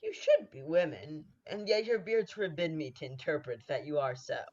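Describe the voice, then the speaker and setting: A bold but wary noble soldier in a radio Shakespeare played by a student actor. The delivery is declamatory at a medium pitch. The sound is dull and muffled. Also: very animated, moderate pace, darkish tone. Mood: puzzled. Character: wary, bold noble warrior questioning strange witches. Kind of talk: 1940s radio play acting of Shakespeare.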